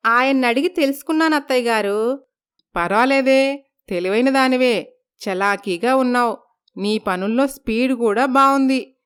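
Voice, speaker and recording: A woman's voice: high voice, smooth. A woman voicing a stern, proud middle-aged mother-in-law who gives bossy warnings in a storybook. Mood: pleased. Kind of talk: storybook dialogue.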